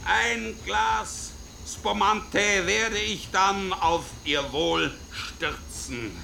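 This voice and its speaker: Shrill, creaky, fussy old official. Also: male voice, drawn-out words, pushed and bright, a little hiss or hum, full character voice. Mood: fussy, merry. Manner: fussy, giggling, exclamatory, repeats words. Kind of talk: German radio play acting.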